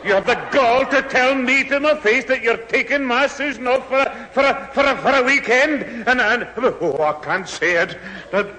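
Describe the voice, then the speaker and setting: A man's voice: extremely high pitch, normal speed, pushed and bright. An older actor playing an irascible, easily outraged old grandfather in a radio sitcom, blustering and spluttering with indignation. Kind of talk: 1970s radio sitcom acting. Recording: old radio recording, hall reverb, faint noise.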